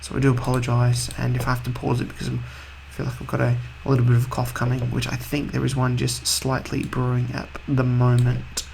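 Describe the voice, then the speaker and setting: Man, husky from a cold, gravelly fry, offhand rambling game commentary. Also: mid-range voice, monotone, fairly quick, hardly any pauses, a little mellow, slight background noise, poor audio. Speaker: video game let's-play host.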